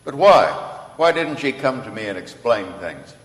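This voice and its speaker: Casting: kindly, indignant elderly Santa for radio drama; mid-pitched, very expressive, old-fashioned, echoey hall. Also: male voice, normal speed. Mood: hurt, indignant. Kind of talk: old-time radio play acting. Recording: echoey hall with long reverb.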